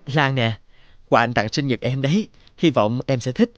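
A man's voice: mid-range voice, normal speed, no echo, lo-fi sound, close to an everyday voice. Earnest young man voiced by an actor. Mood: tender. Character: earnest, dutiful young man, boyfriend and son. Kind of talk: animated drama voice acting.

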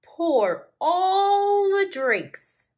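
A woman voicing excitable storybook animals at a party, exclaiming in a drawn-out sing-song way for children. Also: very high voice, lo-fi sound, pitched above the performer's own voice, full character voice. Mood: drawn-out, eager. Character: excitable high-voiced cartoonish party animals from a picture book. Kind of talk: slow expressive storybook read-aloud for children.

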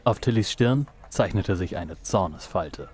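A man's voice: sits low, animated, a little slow, a little mellow, breathy edge. A man reading scripted narration for an audio drama. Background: music.

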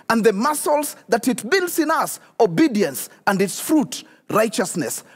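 A middle-aged man preaching a sermon as a pastor, animated and speaking directly to his viewers. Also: high-pitched, slightly rough. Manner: animated, addresses viewers directly, repeats key questions. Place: church stage studio, indoors. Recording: long hall reverb.

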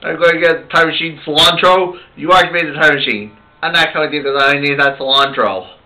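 A man improvising amateur puppet show dialogue as the puppeteer, with a halting, rambling delivery. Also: a little high, sharp tone, rough recording. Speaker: puppeteer voicing all the characters.

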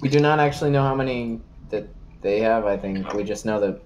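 A man's voice: on the low side, brisk, a little mellow, muffled recording, a little hiss or hum. A man playing a tabletop game, chatting casually with joking, crude banter. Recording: music behind the voice. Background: background music.

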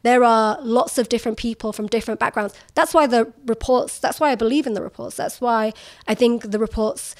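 A woman's voice: a little high, fairly quick. A woman journalist in her thirties, talking candidly in an interview in a forthright, emphatic way and filling her speech with "like". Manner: forthright, emphatic, uses many "like" fillers. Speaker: journalist guest.

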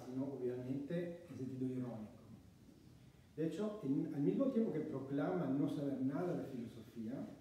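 A man's voice: medium pitch, warm tone, recorded from a distance, slight background noise. Italian man lecturing in an echoey room. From Italy. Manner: measured, reading from notes. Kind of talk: academic lecture. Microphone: far camera.

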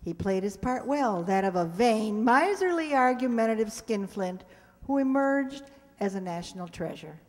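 A woman's voice: mid-range voice, lively intonation, normal speed, darkish tone. An older woman introducing a show to an audience from a stage, chatty and asking questions. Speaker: presenter introducing a community radio-play show. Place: stage in a community hall. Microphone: microphone on a stand, close. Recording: echoing hall sound.

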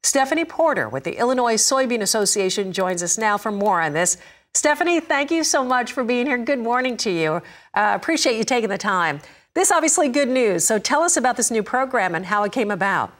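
A woman's voice: on the high side, big pitch swings, a little fast. A middle-aged woman anchoring a farm news show in a TV studio, interviewing a guest.

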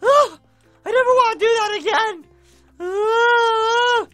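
A woman voicing an excitable, whiny animatronic ballerina in a game roleplay, dramatic and easily flustered. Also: very high voice, slow pace, no echo, faint music behind, exaggerated voice. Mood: exasperated. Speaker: video channel character host. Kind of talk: Minecraft roleplay video acting.

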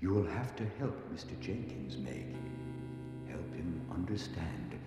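A man voicing a solemn, wise cherubim guide in an audio drama, grave and deliberate, sometimes breathy. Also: deep voice, big pitch swings, heavily acted. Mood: solemn, guiding. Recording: cavernous long reverb, music underneath.

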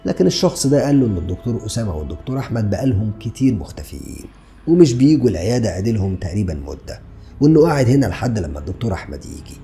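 A man's voice: on the low side, sing-song, racing, vocal fry, dark tone, soft background music. A man in his thirties with an Egyptian Arabic accent, narrating a true crime story and asking the listener rhetorical questions. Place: small dark studio indoors. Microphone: podcast mic on stand in front.

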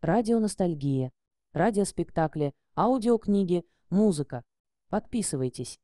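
Mellow woman announcer, very dry sound. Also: lowish voice, expressive, measured pace, no room sound. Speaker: channel announcer. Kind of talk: scripted promo announcement.

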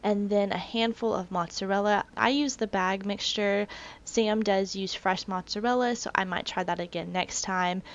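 A woman's voice: medium pitch, steady tone, normal speed, highs cut off. A woman in her thirties narrating a cooking video in a casual, self-deprecating way. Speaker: cooking vlogger. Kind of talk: recipe voice-over narration.

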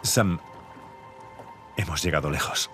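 A low-pitched, weary adventurer in a dubbed cinematic game. He talks with brotherly affection and sincerity in a European Spanish accent, over clear music. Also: slow, bright, forceful tone, full character voice. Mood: wistful, reassuring.

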